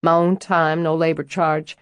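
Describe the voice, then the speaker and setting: A woman's voice: deep voice, little pitch movement, measured pace, clean tone, dry recording, studio quality. A woman voicing an easygoing, flirtatious man. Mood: teasing. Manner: drawling, teasing. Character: easygoing flirtatious southern man, teasing landscaper.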